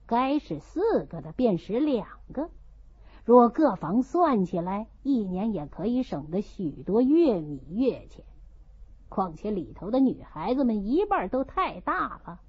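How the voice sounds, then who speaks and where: A deferential male steward voiced by a woman narrator in a storybook. He advises earnestly at a slow, measured pace. The recording is slightly dull. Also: a little high, slightly rough, full character voice. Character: Lin Zhixiao, cautious, deferential male household steward. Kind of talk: audiobook character reading of a classical novel. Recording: dull lo-fi audio.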